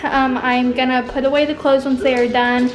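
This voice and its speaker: Young woman vlogger, high-pitched, chatty and rambling, handheld camera at home, light music underneath. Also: little pitch movement, normal speed, low-quality recording. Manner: chatty, rambling, filming handheld while walking through the house. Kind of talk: casual home vlog. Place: home rooms, indoors. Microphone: phone or camera held at arm's length.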